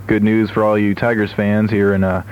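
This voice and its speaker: A man's voice: lowish voice, level tone, brisk, dull audio, a little hiss or hum. A man working as a radio DJ, chatting between records in a studio, on an old AM aircheck recording. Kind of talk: radio music DJ chat. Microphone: studio broadcast microphone.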